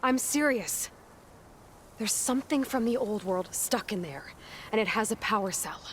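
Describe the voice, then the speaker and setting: A woman's voice: mid-range voice, expressive, moderate pace, a little hiss or hum, natural voice. Direct, determined heroine played by a woman. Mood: earnest, insistent. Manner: direct, matter-of-fact, insistent. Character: determined, headstrong young heroine, bold and practical. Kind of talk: naturalistic video game acting.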